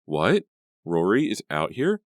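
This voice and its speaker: Man doing angry scared young woman, sharp snappy lines, dry close sound. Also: low voice, wildly swooping pitch, slow, dry recording, voice pushed up, full character voice. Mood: fearful, angry.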